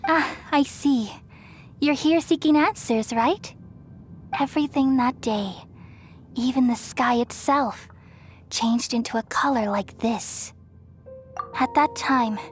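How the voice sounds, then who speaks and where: A serene, motherly goddess of great age , acted by a woman speaks in a fairly high voice. She is wise and solemn, reassuring in a measured game-drama delivery. Music plays clearly behind her. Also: lightly acted. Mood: gentle. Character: gentle, wise ancient tree goddess, serene and maternal.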